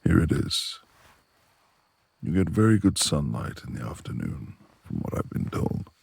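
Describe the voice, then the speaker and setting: Man playing a very deep, gravelly brooding lord. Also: wildly swooping pitch, a little slow, no room sound, full character voice. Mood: courteous, teasing. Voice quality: gravelly, husky. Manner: formal old-fashioned gentlemanly phrasing. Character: brooding reclusive beast-like lord, proud, courteous, quick-tempered. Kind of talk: romantic audio roleplay, ASMR.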